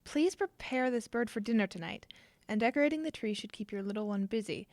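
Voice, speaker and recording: A woman acting in an audio drama as a poor, cautious, tender mother who speaks in a hesitant, gently worried way. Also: a little high, expressive, a little fast, a little hiss or hum.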